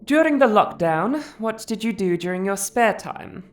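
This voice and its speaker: A woman voicing a formal, detached research officer in a comic dub, speaking in a measured, clinical, official manner. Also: medium pitch, lively intonation, soft background music, close to an everyday voice. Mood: clinical, questioning. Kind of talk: scripted comic dub voice acting.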